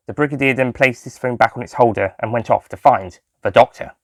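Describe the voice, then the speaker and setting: A man's voice: mid-range voice, no echo, studio quality. A man reading scripted story narration as the narrator of an audio tale. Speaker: author and narrator.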